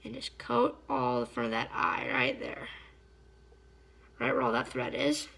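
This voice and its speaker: A slightly hoarse woman giving a casual hands-on fly-tying tutorial, chatty and often stopping to search for a word. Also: a little high, big pitch swings, measured pace, fairly bright tone. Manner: chatty, stops to search for words.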